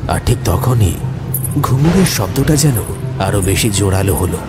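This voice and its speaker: A man's voice: on the low side, expressive, normal speed. A man narrating a scripted horror story in a dramatic, suspenseful, theatrical way. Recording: loud music under the voice.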